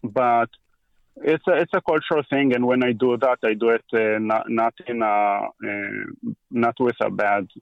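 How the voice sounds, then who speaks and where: A man from Israel speaking English with an Israeli accent in a casual interview, talking slowly with many pauses and restarts. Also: mid-range voice, fairly even intonation, vocal fry, dry recording, phone-quality sound. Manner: halting, with frequent pauses and restarts. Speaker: guest. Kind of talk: casual conversation.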